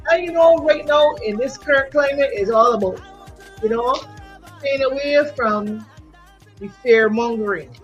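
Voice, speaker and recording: A woman from Barbados, a singer and entrepreneur, talking in an animated, casual chat full of "you know". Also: high-pitched, unhurried, dull audio, soft background music.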